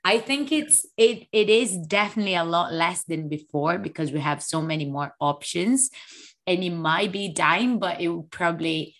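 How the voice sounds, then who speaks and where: A woman co-hosting a fitness podcast in casual chat, sounding a little fluey and telling stories in an animated way. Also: on the low side, normal speed. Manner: chatty, animated storytelling. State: a little hoarse with flu. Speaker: podcast co-host; online fitness coach.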